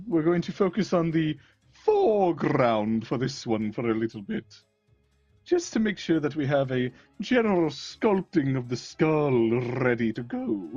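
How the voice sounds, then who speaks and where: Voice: mid-range voice, darkish tone, dry recording, muffled recording. A performer voicing a theatrical villain barber who cackles often and speaks in pompous, old-fashioned phrases. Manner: archaic pompous speech, frequent cackles.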